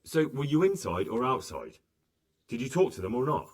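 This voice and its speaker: An actor playing an earnest young squire in a video game, questioning people and pressing them for the truth. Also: male voice, medium pitch, big pitch swings, moderate pace. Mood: probing. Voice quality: probing, insistent. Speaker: protagonist questioning witnesses. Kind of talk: video game cutscene acting.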